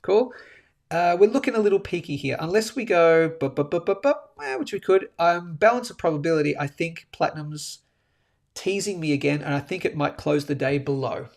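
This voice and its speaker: Excitable man with a gravelly voice. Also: fairly high pitch, moderate pace. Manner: animated, keeps tagging phrases with "okay?". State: a bit sniffly. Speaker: host of a daily live precious-metals show. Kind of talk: live chart analysis, casual and excitable. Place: indoors, a different room than usual.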